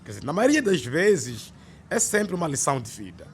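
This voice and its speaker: A weary, authoritarian male teacher in a radio drama. His voice sits fairly high and swings widely in pitch as he lectures expressively. There is some noise behind him. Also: big pitch swings, moderate pace. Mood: stern, instructive. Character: weary, authoritarian male schoolteacher, frustrated but open to change. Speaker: actor in a radio soap.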